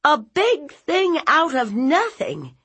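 A woman's voice: high voice, huge pitch swings, takes it slowly, fairly bright tone, voice pushed up, full character voice. A woman voicing a fierce, blunt, protective aunt in a story, scolding in an emphatic, folksy, interrogating way. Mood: outraged scolding.

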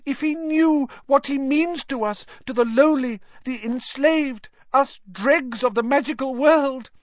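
A narrator voicing a small, servile male house elf from a storybook, squeaky, anxious and deferential, pleading in the third person. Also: a little slow, no room sound, dull audio. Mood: tearful, fervent.

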